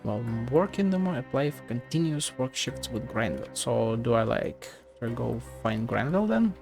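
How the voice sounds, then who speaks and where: A man's voice: medium pitch, sing-song, normal speed, vocal fry. A man giving casual, blunt gameplay commentary, muttering to himself, swearing and reading game text aloud. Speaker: game streamer. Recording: game music behind the voice.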